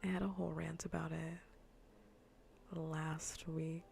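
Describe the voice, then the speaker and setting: A woman streamer chatting casually in a soft, breathy whisper on an ASMR gaming livestream, rambling and repeating phrases, close on the microphone. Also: deep voice, little pitch movement, measured pace, slight background noise.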